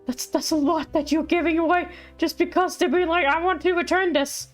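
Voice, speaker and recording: A woman's voice: very high voice, steady tone, brisk. A woman livestreamer giving casual, playful, reactive commentary on a game. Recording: faint game music behind.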